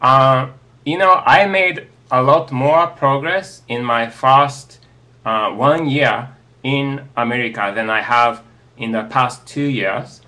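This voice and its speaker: A man in his thirties whose first language is Japanese, answering audience questions on a video call in a slow, hesitant way with many fillers. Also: medium pitch, sharp tone. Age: 30s. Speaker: interview guest. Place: home study, indoors. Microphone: earbud headset on a video call.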